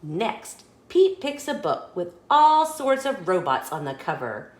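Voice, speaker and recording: A woman reading a children's picture book aloud as a story host, in an expressive, deliberate storytelling manner. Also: lowish voice, reverberant.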